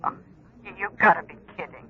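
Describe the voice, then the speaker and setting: An actress playing a sour, sceptical convict's wife in a radio drama, sardonic and incredulous. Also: female voice, fairly quick, fairly bright tone, lightly acted. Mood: sardonic surprise. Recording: dull telephone-filtered old radio sound.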